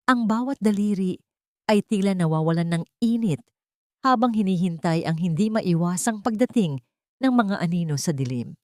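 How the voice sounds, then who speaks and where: A woman with a Filipino accent narrating a scripted dramatic story in a measured, solemn way. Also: mid-range voice, a little mellow, no echo, studio quality.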